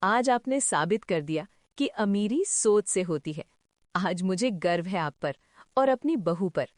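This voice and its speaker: A woman playing an affectionate, supportive middle-aged mother-in-law in an animated story, with an expressive, motherly delivery. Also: mid-range voice, measured pace, dry recording, clean studio sound, close to an everyday voice. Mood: proud, teasing. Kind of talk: animated story drama acting.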